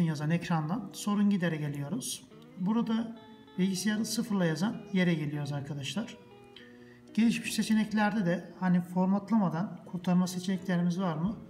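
Tutorial host, male, mellow and patient, talks viewers through steps, soft guitar underneath. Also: high voice. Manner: patient, repeats steps, addresses viewers as friends. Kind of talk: casual step-by-step tutorial. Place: indoors at a desk, off camera.

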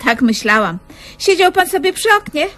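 A flustered, high-strung comic woman in a radio play. Her voice is extremely high and highly animated. She complains plaintively, exclaiming and stumbling over repeated words. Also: moderate pace. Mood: lively, matter-of-fact. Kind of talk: comedic radio play acting.

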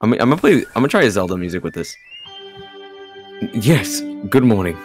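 A man's voice: on the low side, big pitch swings, very fast, over music. A man chatting casually as he hosts a game read-along with friends. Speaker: host reading and dubbing a game with friends. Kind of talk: casual chat.

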